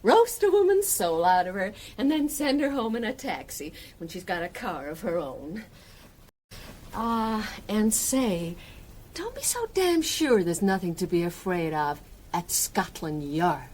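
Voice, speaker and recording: An actress playing a brassy, defiant criminal's wife in a radio drama, with an American accent and a sardonic, slangy, emotional manner. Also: female voice, medium pitch, sing-song, unhurried, a little mellow, slight background noise. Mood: bitter, sardonic.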